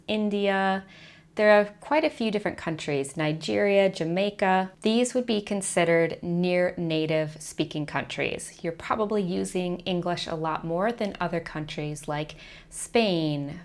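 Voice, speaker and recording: Woman, young adult, lower register, clear list-like explainer for an advice video. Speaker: online teaching coach. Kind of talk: scripted advice video to camera.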